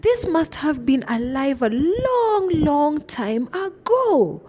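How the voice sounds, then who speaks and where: A woman voicing an excitable young girl in a children's story, exclaiming with drawn-out emphatic words. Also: high voice, a little hiss or hum, pitched above the performer's own voice, exaggerated voice. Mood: awestruck. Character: excitable, awestruck young girl lost in a museum. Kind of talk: children's storybook character acting. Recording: reverberant, hall-like echo.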